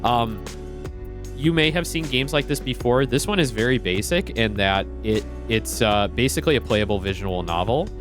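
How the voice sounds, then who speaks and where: A man's voice: medium pitch, animated, normal speed, music in the background. A man streaming a retro game, chatting casually and greeting viewers by name.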